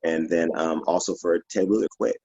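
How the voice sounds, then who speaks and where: A man's voice: sits low, fairly even intonation, brisk, gravelly, a little mellow, husky, no echo, lo-fi sound. A man speaking as a protest organizer on an online panel, matter-of-fact and listing demands with some restarts. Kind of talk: panel conversation. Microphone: video call.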